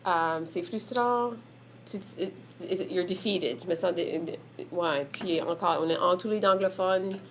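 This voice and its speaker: A woman's voice: on the low side, measured pace, slight background noise. A woman from the Évangeline region with an Acadian French accent, speaking candidly and searchingly about family and language. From Évangeline region, Prince Edward Island. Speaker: mother sharing her testimony. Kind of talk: candid personal testimonial.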